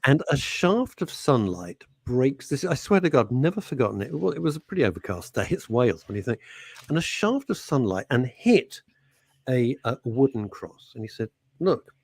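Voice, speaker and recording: Chatty radio guy, voice all over the place pitch-wise, rambles and restarts, dry close sound. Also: moderate pace, gravelly, husky, no room sound. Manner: lively, rambling storyteller with laughs and restarts. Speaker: radio show co-host. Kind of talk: casual studio chat, storytelling.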